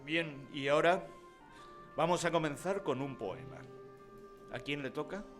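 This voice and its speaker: A man acting a kindly, formal older village schoolteacher in a radio drama, measured and courteous. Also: a little high, very animated. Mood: patient. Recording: music behind the voice.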